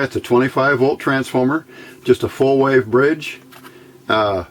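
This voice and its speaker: A man giving a casual hands-on electronics demonstration, informally thinking aloud in short phrases. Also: mid-range voice. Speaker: electronics hobbyist presenter. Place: indoors at a workbench. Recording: noisy home-video recording.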